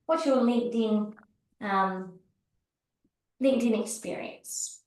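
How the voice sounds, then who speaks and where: A woman's voice: fairly high pitch, slow, darkish tone, very echoey, distant mic. A woman hosting an online networking meeting, encouraging and inviting each member to speak in turn. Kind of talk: hosting a video call networking session. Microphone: video call.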